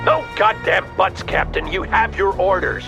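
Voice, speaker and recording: An actor playing a stern, decisive army officer giving clipped, authoritative orders in a video game. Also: male voice, high voice, normal speed, music in the background. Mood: irritated, urgent. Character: stern commanding male army officer, decisive battlefield leader. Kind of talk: video game radio-briefing acting.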